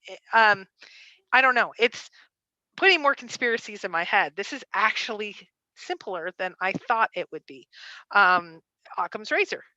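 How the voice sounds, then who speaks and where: A woman's voice: mid-range voice, animated, normal speed, sharp tone, no echo, highs cut off. A woman co-hosting a livestream, chatting casually and frankly, often digressing and reading out viewer comments. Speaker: livestream co-host.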